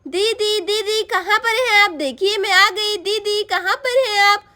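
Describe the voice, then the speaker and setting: Woman doing a flustered helpful neighbor, very high voice, hurried. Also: pushed and bright, full character voice. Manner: flustered, reassuring.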